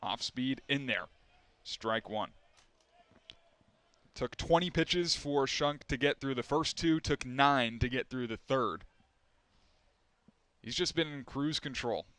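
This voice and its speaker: Young male play-by-play announcer, smooth clear voice, measured delivery. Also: mid-range voice, fairly bright tone, no echo. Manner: measured, rising for big plays. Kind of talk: baseball play-by-play commentary.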